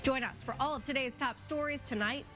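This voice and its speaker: A woman's voice: high-pitched, fairly quick, over music. Polished woman anchor teasing the news. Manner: bright, polished anchor delivery. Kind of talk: news promo.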